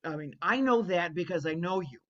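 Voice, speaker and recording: A co-host giving effusive, warm feedback on a story over a video call. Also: medium pitch, animated, brisk. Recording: dull lo-fi video call audio.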